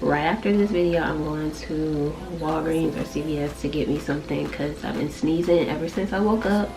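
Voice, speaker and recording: Congested young woman, low voice. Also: normal speed. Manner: chatty, rambling, informal. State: congested, nasal from allergies. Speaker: vlogger. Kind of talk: casual chat to camera.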